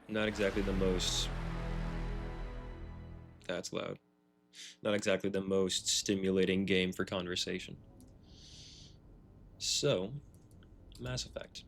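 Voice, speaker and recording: A laid-back male streamer chats casually while playing a video game. His voice is low with plenty of vocal fry, and there is faint music behind him. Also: fairly bright tone. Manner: laid-back, offhand rambling. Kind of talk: casual livestream chat and game commentary.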